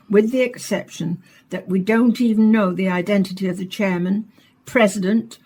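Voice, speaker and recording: A woman playing a dutiful radio broadcaster in a dystopian science fiction play, with a slightly husky voice and scripted delivery. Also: medium pitch, a little mellow, close to an everyday voice, rough recording. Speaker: radio interviewer and narrator. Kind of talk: scripted radio drama monologue and interview.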